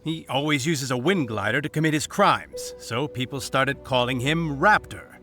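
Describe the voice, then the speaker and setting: A man's voice: mid-range voice, normal speed, pushed and bright, faint music behind, natural voice. A man playing an officious, zealous knight on patrol in a video game, formal, declamatory and by-the-book. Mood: explanatory.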